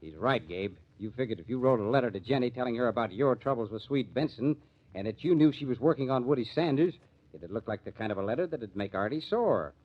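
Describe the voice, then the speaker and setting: Muffled old radio recording, man playing hard-boiled American lieutenant, wry, confident, wisecracking, medium pitch. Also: lively intonation, fast talker, lightly acted. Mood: sardonic, explaining. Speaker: police detective. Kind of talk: old-time radio crime drama acting. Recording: dull vintage radio recording.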